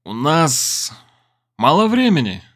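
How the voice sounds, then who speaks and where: A man's voice: a little high, huge pitch swings, slow, heavily acted. A man voicing a weary, earnest businessman and determined conspirator in an audiobook, with a grave, emphatic manner. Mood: urgent, grim.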